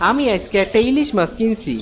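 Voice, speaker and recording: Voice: medium pitch, animated, quick pace, close to an everyday voice. An actor with a Bangladeshi accent voicing a friendly male neighbour shopping at a village market in a cartoon. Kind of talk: Bengali fairy tale cartoon dubbing.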